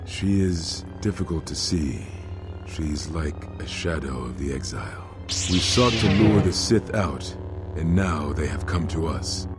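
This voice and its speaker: A grim Jedi master with an extremely deep voice. He is somber and wary in this game drama, with clear music behind. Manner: somber, measured. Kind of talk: video game drama acting.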